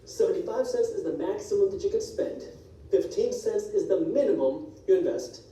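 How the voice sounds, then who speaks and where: Brief: man, Punjabi first language, finance explainer, high and dark-toned, replayed through hall speakers, distant. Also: animated. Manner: brisk, direct, walks through numbers. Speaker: personal finance educator. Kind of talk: scripted explainer video. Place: played on speakers in a church hall. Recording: video replayed in an echoey hall, heard from afar.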